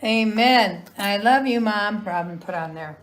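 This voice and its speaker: A woman's voice: medium pitch, measured pace. A middle-aged woman hosting a casual family livestream, chatty and upbeat. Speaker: host. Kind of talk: casual chat.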